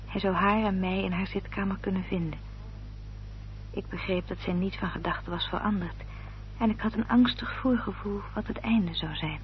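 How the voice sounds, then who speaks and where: A woman's voice: mid-range voice, steady tone, normal speed, natural voice. Woman as devoted sister, dull old radio sound. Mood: grave, anxious. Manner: formal, literary, carefully articulated. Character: devoted, protective sister, narrating anxiously. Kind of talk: Dutch radio drama acting and narration. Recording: dull, noisy old radio recording with hum.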